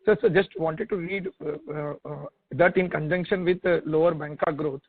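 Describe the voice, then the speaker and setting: Indian guy asking analyst questions on a tinny phone call, highish voice, keeps repeating words. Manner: halting, repetitive, stammers over repeated words. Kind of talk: spontaneous questioning on a conference call. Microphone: telephone conference call. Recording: tinny narrowband phone line.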